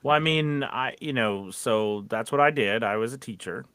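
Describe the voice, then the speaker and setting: A man's voice: mid-range voice, normal speed, fairly bright tone, clean tone, dry recording. A man chatting casually on a podcast as a teacher, rambling and often restarting his thoughts. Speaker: voiceover teacher.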